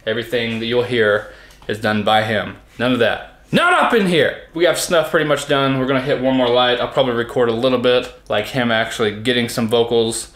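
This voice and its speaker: A man's voice: medium pitch, fairly even intonation, a little fast, fairly bright tone, roomy sound. A man casually explaining home music recording to his viewers in a behind-the-scenes vlog, talking from his own recording room. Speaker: home studio recording vlogger.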